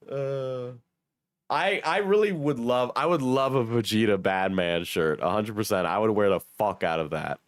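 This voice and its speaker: A hoarse young man with a cold, joking and swearing casually as he reads his chat on a livestream. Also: fairly low pitch, unhurried, pauses often, dry recording, clean studio sound. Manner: joking, self-mocking, swears. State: hoarse, sick. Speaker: streamer reading chat.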